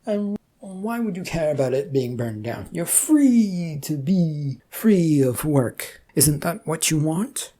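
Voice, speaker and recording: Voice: medium pitch, animated, takes it slowly, few pauses, warm tone. A narrator voicing a smug, evasive god with a grandiose, dismissive manner in a story reading. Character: smug, breezy, evasive god founding his own religion.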